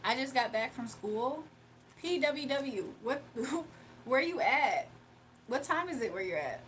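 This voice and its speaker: A woman's voice: sits high, lively intonation, measured pace, slight background noise. A woman chatting casually on a live stream from her phone, reading viewer comments aloud and laughing often. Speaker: livestreamer.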